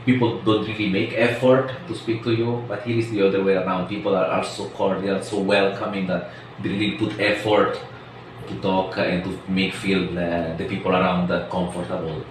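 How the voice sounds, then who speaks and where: Echoey, low-voiced man in his forties. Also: level tone, normal speed. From Switzerland. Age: 40s. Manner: hesitant, searching for words. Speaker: interviewee, expatriate resident. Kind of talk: informal interview answers. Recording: echoey room, distant mic, faint noise.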